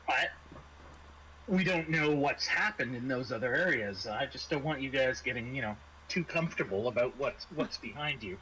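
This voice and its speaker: A man narrates a tabletop roleplaying game as game master. He talks casually and a little haltingly, with dry understated humour, over a home mic with faint background noise. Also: mid-range voice, animated.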